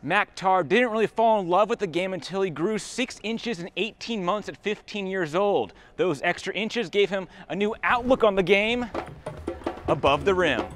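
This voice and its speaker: A man delivering scripted sports news and highlight narration as a TV reporter and anchor. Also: animated, moderate pace, fairly bright tone, faint music behind.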